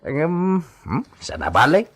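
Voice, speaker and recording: A man playing a skeptical, grumbling member of a household in a radio drama, gruff and drawling. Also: fairly high pitch, huge pitch swings, takes it slowly, a bit bright, heavily acted. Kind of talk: Ilocano radio drama acting.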